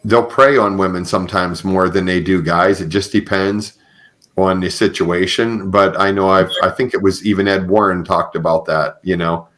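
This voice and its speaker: A man's voice: low-pitched, fairly even intonation, normal speed, fairly bright tone. A man hosting a podcast interview, talking conversationally in an earnest, affirming way. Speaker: podcast host.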